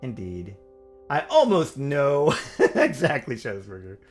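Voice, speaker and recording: A man's voice: medium pitch, huge pitch swings, measured pace, darkish tone. A middle-aged man hosting a casual music livestream, chatty and upbeat, with lots of fillers. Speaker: livestream pianist host.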